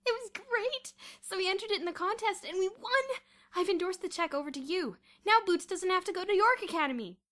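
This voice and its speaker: A woman voicing a giddy, hysterical teenage schoolgirl with a strained, croaky, gushing delivery in a storybook. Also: extremely high pitch, normal speed, hardly any pauses, voice pushed up. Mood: hysterical delight.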